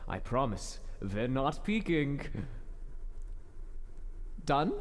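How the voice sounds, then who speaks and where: A woman's voice: very deep voice, sing-song, very slow, exaggerated voice. A woman playing a showy stage magician in a sci-fi audio drama, speaking with florid, theatrical politeness. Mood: showy, courteous. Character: showy, mock-courteous stage magician among a spaceship crew. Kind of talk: science fiction audio drama acting.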